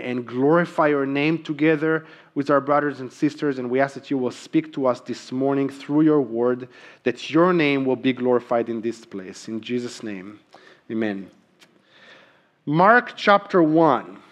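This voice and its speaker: A man's voice: medium pitch, a little slow. A man preaching a sermon as a church pastor, earnest, with frequent ums and emphatic repeated key phrases. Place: church sanctuary.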